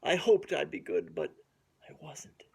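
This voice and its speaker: A man's voice: fairly high pitch, huge pitch swings, measured pace, vocal fry, a little hiss or hum, exaggerated voice. A man voicing an eager, well-meaning but easily tempted pet dog in a picture book, speaking earnestly with stretched-out words. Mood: sorry.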